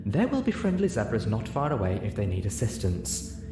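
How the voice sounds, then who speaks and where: A regal older pony princess as a man reads the part, stately and gently affectionate with a teasing side. It is a storybook performance, recorded in an echoey room with clear noise. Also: lowish voice, huge pitch swings, moderate pace. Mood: reassuring.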